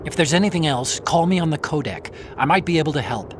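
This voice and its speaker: A man's voice: fairly high pitch, lively intonation, quick pace, noisy background, close to an everyday voice. An actor in a video game cutscene playing an earnest, helpful male tech ally, concerned and inquisitive. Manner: concerned, inquisitive, eager to help. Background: music.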